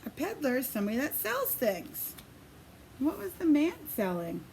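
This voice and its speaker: Very high-pitched, animated woman reading to preschoolers. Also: gravelly, a little hiss or hum. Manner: sing-song, expressive, pausing to ask children questions. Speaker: preschool teacher. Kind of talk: animated picture-book read-aloud for young children.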